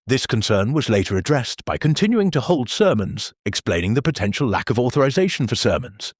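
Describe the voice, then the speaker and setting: An actor playing an earnest, formal church meeting chairman who speaks in a measured, bureaucratic deadpan. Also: medium pitch, breathy edge, no room sound, clean studio sound. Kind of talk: audio drama acting.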